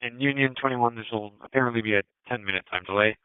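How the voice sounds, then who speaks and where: A man's voice: medium pitch, fast, clean tone, no echo. A man working as a police dispatcher, reading out incident reports over the radio in a clipped, businesslike way. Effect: police radio transmission. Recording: tinny narrowband police scanner audio.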